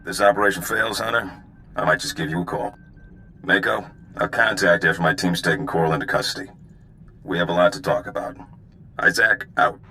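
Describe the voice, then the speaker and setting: An actor playing a stern, guarded government agent in a video game, speaking in a clipped, authoritative way. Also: male voice, low voice, fairly quick, long pauses, pushed and bright, a little hiss or hum, rough recording. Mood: stern, warning.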